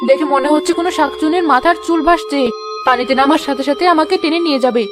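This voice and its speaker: A woman's voice: high voice, very fast, music in the background, natural voice. A woman voicing a superstitious, easily frightened village woman in a cartoon, dramatic and fretful. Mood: terrified. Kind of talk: cartoon voice acting.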